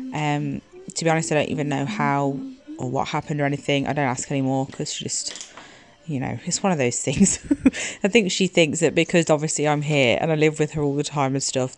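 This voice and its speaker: A woman's voice: low-pitched, no room sound. A young woman giving a casual, chatty nail tutorial voice-over, sounding slightly congested from hay fever. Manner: chatty, informal. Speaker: nail technician making tutorials. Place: indoors, voice-over recorded in a room at home. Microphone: phone.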